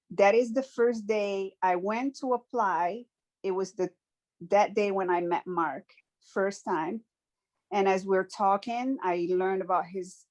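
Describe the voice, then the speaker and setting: A woman speaks in a middle-pitched, conversational way. She is earnest and reflective and often stops to restart a thought. Her delivery is that of a guest telling a personal story in an interview. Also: a little mellow. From Morocco.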